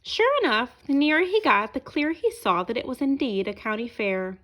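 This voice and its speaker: Female children's storyteller, high voice, very animated delivery, deliberate phrasing. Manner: expressive, deliberate phrasing for young listeners. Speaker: storyteller reading a picture book aloud. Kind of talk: children's read-aloud narration.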